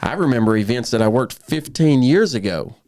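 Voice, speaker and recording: A man's voice: mid-range voice, animated, brisk, a little mellow, no room sound, studio quality. A man in his thirties, a professional bullfighter, telling his story earnestly in a casual podcast conversation, often restarting his sentences. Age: 30s.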